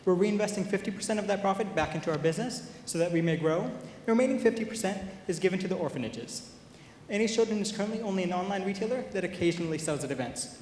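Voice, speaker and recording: A young man from Pakistan speaking as a student presenter, pitching and answering questions at an event. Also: lively intonation, fast, a little mellow. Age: young adult. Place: large indoor event hall. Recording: echoey hall, faint noise.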